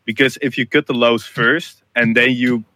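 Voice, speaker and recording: A man's voice: mid-range voice, steady tone, normal speed, bright, forceful tone, no echo. A man giving rambling, casual advice about mixing on a group call. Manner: advising, rambling, casual swearing. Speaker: music producer on a group call.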